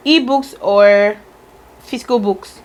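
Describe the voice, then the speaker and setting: A woman's voice: mid-range voice, slight background noise, rough recording. A woman vlogger reading out tag questions in a casual, teasing chat with her husband. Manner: playful, teasing, drawn-out musing.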